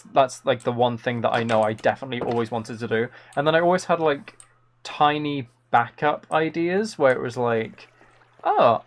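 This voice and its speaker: A man hosting a live game stream in casual chat, rambling playfully and thinking aloud into a close microphone. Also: medium pitch.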